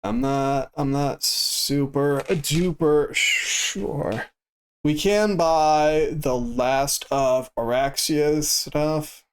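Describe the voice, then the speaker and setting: A man streaming a game who chats casually in a flat, stoic way and swears freely. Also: mid-range voice, a little hiss or hum. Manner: monotone and stoic delivery. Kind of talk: casual chat while gaming.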